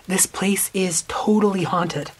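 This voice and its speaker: A woman's voice: mid-range voice, fairly even intonation, slow pace, breathy edge. A woman playing an eager, helpful schoolboy in a modern audio drama, talking in an earnest, colloquial way. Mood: spooky, conspiratorial.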